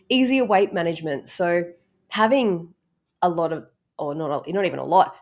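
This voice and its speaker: A woman coach with a slightly low voice, a little croaky from a cold. She talks casually through her explanations, step by step and loose with filler words. Easygoing instructional delivery. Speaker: strength coach. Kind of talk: casual instructional talk to camera.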